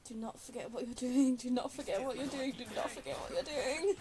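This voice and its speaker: A woman's voice: high voice, fairly quick, a crowd in the background. A woman YouTuber doing casual game commentary in a giggly, self-mocking way, often muttering to herself. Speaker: gaming YouTuber. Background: game music.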